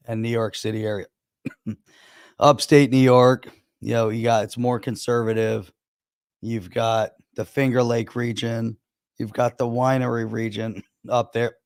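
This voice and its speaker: Gravelly man chatting over election results. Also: mid-range voice, little pitch movement, a little slow, dry recording, studio quality. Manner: chatty, opinionated, thinking aloud over results. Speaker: crypto show host. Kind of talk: casual live-stream chat. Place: home in Florida.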